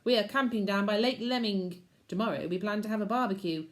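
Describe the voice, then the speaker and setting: A jolly, polite scout leader chirps his welcomes in a very high, bright voice, as a woman reading the storybook puts him on. He sounds eager and cheerful. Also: a little fast, natural voice. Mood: friendly.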